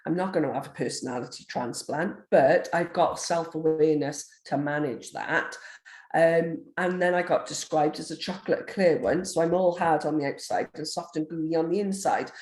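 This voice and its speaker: A woman with a deep, gruff voice, a nurse educator giving a recorded online lecture in a forthright, quick manner with frequent ums. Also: a little mellow. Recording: roomy echo.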